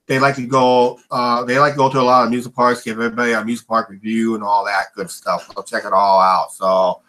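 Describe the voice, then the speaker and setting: A man's voice: mid-range voice, flat delivery, fast, sharp tone. A middle-aged man hosting a casual livestream chat, rambling with lots of "uh" and "and all that stuff" fillers. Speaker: livestream host.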